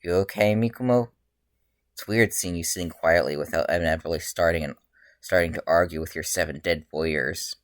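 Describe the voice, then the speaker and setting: A worn-out, middle-aged male hero, voiced by a story reader, grumbles in a deadpan. The delivery is flat and low, with a dry, close sound. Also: a little fast, dry recording, lightly acted. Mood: weary concern.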